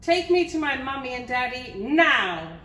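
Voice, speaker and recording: A woman voicing a spoiled, shouty little princess from a storybook, with a shrill, demanding, whining delivery. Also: lively intonation, takes it slowly. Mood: bossy, whining. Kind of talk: children's storybook character voice. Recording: roomy, distant mic, faint noise.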